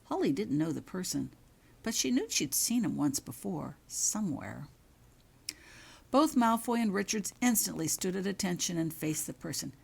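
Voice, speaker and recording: A woman reading her own fiction aloud as expressive audiobook-style narration. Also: lowish voice, normal speed, creaky, slightly rough. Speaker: author reading own story.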